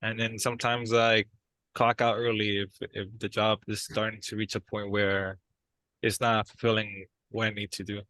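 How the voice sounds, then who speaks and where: Young male therapy client, played by a man: hesitant, bright low voice, flat delivery. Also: dry recording, poor audio. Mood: hesitant, earnest. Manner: halting, hesitant. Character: soft-spoken restless young man in couples-style therapy, aspiring drummer. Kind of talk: improvised therapy role-play.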